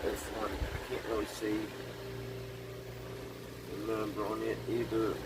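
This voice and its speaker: A man's voice: lowish voice, a little slow. A man casually narrating hands-on repair work to his viewers, thinking aloud in a folksy way. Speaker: host of a radio repair channel. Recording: loud steady background hum and room noise.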